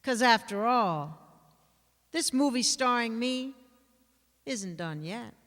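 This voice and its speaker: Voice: mid-range voice, lively intonation, takes it slowly, a bit bright. An actor giving a dramatic reading of first-person poetry. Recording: reverberant hall recording.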